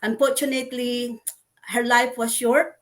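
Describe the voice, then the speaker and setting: A woman born in the Philippines hosts a casual online chat over a video call. Her voice sits a little high, and she talks earnestly, pausing often with fillers as she gathers her words. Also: flat delivery, fairly bright tone. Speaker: host.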